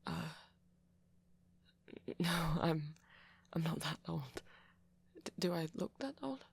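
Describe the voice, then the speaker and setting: Woman as a breathy, stammering shy vampire boy. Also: low voice, animated, takes it slowly, no echo, exaggerated voice. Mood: awkward, self-conscious. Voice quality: soft, breathy, often half-whispered. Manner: hesitant, stammering, self-conscious. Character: shy, anxious, apologetic young-looking male vampire, softly nerdy. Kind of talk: ASMR roleplay monologue to a listener.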